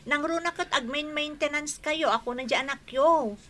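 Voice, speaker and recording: A woman's voice: sits high, moderate pace, bright, forceful tone. An actress with an Ilocano accent playing a young woman in a radio drama who firmly urges an older man to stop drinking. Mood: pleading, firm.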